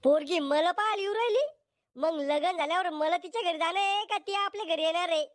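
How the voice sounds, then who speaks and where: A man's voice: very high voice, a little fast, a bit bright, no echo. A man voicing a whiny, petulant young bachelor desperate to marry, in a comedy cartoon. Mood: puzzled. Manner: pleading, petulant. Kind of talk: Marathi comedy cartoon acting.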